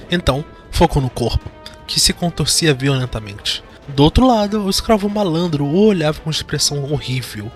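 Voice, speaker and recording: Male narrator, Brazilian accent, mid-pitched. Dramatic scripted horror-fantasy storytelling over a music bed.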